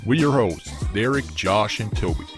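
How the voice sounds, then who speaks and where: A man's voice: on the low side, lively intonation, normal speed. A man reading the scripted intro of a sports podcast in an announcer style. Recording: intro over loud music.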